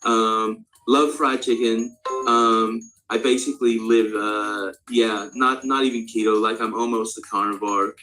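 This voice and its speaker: A male speaker of around forty from Australia, practising an American accent in a rambling, halting, candid and sweary casual monologue. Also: fairly low pitch, fairly even intonation, sharp tone, soft background music. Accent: American, put on over native Australian. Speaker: actor introducing themself.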